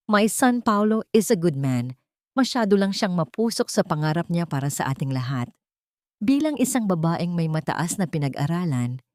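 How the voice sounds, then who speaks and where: A woman's voice: deep voice, darkish tone, dry recording. A narrator plays a wealthy old matriarch in an audiobook melodrama. She is pious and scheming, speaking with silky, condescending false sweetness in a dry, close recording.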